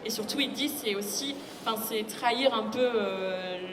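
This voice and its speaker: A woman's voice: on the high side, moderate pace, crowd noise. A woman giving thoughtful explanations as a guest translator in a conversational public discussion. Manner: thoughtful, explanatory, illustrates with quoted asides. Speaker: literary translator, guest speaker. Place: public book fair talk. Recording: echoey hall, loud ambient noise.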